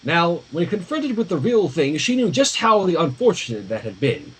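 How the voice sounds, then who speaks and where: A man reading a story aloud on a livestream with expressive, dramatic narration and casual asides, in a husky voice. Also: a little high, moderate pace, poor audio. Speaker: fan fiction reader.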